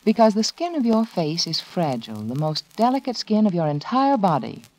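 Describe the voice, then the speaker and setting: A woman reading a scripted 1940s radio advertisement in a measured, confiding, persuasive manner. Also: on the low side, sing-song, a little mellow, no room sound, poor audio. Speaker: commercial spokeswoman.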